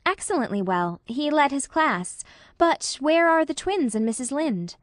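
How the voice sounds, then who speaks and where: A woman's voice: sits high, animated, measured pace, no echo, studio quality, voice pushed up. A woman voicing a dreamy, romantic young woman who speaks in a gushing, lyrical way. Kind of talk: audiobook character voice.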